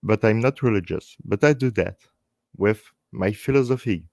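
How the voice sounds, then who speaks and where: A man with a French accent and French as his first language, hosting a live stream in halting English, speaking earnestly and unscripted in short phrases with repeated words. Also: lowish voice, creaky, darkish tone, dry recording, clean studio sound. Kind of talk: earnest unscripted talk to online viewers.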